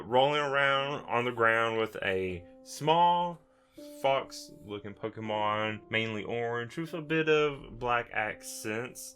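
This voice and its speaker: A man narrates a tabletop roleplaying game at a slow, deliberate pace. His voice is bright and clear-edged, with descriptive phrasing, and guitar music plays underneath. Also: medium pitch.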